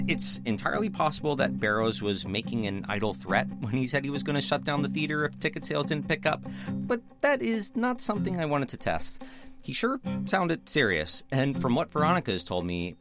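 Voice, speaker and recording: Eager young theater director, lively pitch;, music bed. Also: male voice, normal speed. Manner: upbeat, eager. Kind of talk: audio drama narration and dialogue.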